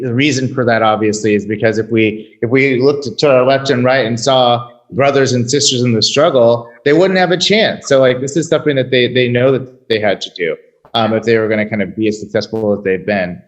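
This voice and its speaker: A man's voice: medium pitch, moderate pace, highs cut off. A man hosting a casual conversation, asking guests questions with many fillers and hesitations. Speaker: host. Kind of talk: interviewing guests.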